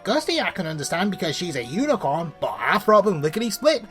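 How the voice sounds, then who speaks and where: A woman's voice: on the low side, very animated, fast talker, a bit bright, faint music behind. A woman hosting a scripted comedic review, blunt and sweary, with an exasperated, ranting manner. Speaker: host of a cartoon review show.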